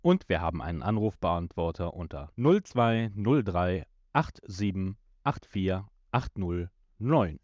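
Man, lowish voice, podcast co-host, announcing, dull home recording. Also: big pitch swings, unhurried, few pauses, a little mellow, no room sound. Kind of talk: podcast talk and scripted announcements. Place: room in a family home.

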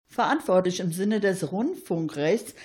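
Female radio co-host, slightly low voice, scripted announcements. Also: expressive, measured pace, a little mellow.

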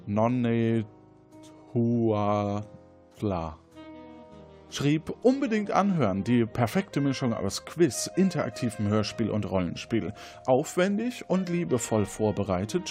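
A man's voice: medium pitch, darkish tone. A man hosting a podcast in an enthusiastic, appreciative way, chatting casually and reading out listener messages. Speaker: podcast host.

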